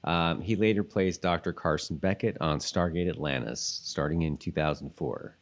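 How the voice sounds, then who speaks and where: A man with a low-pitched voice talks casually as a podcast co-host. He works through his thoughts out loud in a loose, tentative, conversational way. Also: normal speed. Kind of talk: casual rewatch podcast chat.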